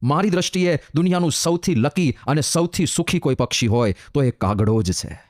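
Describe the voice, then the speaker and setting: A man with a Gujarati accent gives a sermon as a monk, telling a moral fable with a fairly high voice. He builds his lines through repetition and slips into the voices of the birds himself. The sound is dry and close. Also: lively intonation, normal speed, dry recording. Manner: acts out the birds' lines with shifting pitch and repetition. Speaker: monk giving a discourse. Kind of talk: sermon storytelling.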